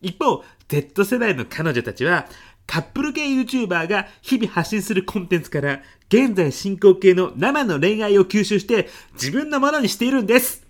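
A man in his fifties playing an earnest department manager who presents in a young woman's girlish manner, in polite, expressive business speech for a comedic radio drama. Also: sits high, normal speed. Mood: gushing, dreamy. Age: 50s.

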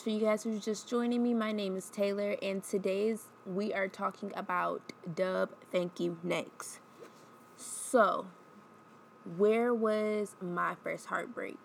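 A woman's voice: mid-range voice, gravelly, slight background noise. A woman in her twenties hosting her own podcast, chatting candidly with lots of ums and sounding stuffed up from a cold. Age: 20s. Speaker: podcast host. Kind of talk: casual solo podcast chat.

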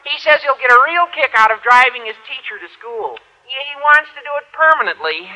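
An actor playing an eager, comically self-important teenage schoolboy with a squeaky adolescent voice in an old-time radio sitcom. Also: male voice, animated, a little fast, lo-fi sound.

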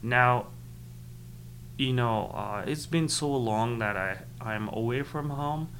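A young man from Nepal speaks, his being Khas. He answers in a thoughtful, halting way, full of fillers and restarts, at a middle pitch. A faint hum runs under the recording. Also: fairly bright tone. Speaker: interviewee. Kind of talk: casual interview.

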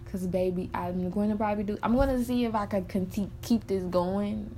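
A woman's voice: medium pitch, normal speed, dark tone, slight background noise. A woman in her early twenties, talking candidly and frankly in a personal monologue, swearing freely and rambling with many fillers. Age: early 20s. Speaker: podcaster.

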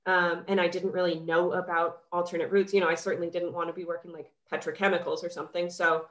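A young woman with a cold speaks in a somewhat low, nasal voice. She talks eagerly and casually, full of "um"s and "you know"s. The sound is roomy and echoey. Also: little pitch movement, quick pace, fairly bright tone. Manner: chatty, enthusiastic, many fillers. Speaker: skincare founder, guest. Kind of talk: casual podcast interview.

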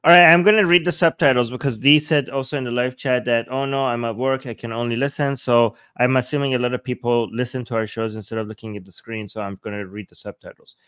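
Smooth-voiced man hosting a livestream. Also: mid-range voice, fairly even intonation, fast, hardly any pauses, a bit bright, no echo. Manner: thinking aloud, reading subtitles to viewers. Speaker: show host. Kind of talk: livestream reaction commentary.

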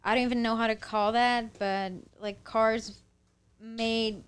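Slow, smooth-voiced woman from Brazil. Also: fairly high pitch, steady tone. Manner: searches for words, explains carefully. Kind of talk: casual chat.

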